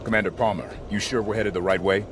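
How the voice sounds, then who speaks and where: A man's voice: on the low side, steady tone, quick pace, lightly acted. A man playing a stoic, focused soldier who leads his squad with terse orders in a video game. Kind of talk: dramatic video game acting. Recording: loud music over the voice.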